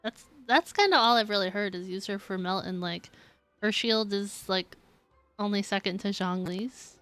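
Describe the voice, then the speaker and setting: A woman's voice: mid-range voice, moderate pace, a bit bright, faint music behind. A woman streamer chatting casually with viewers while she plays a video game. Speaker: live streamer playing a game. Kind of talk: casual gaming stream chat.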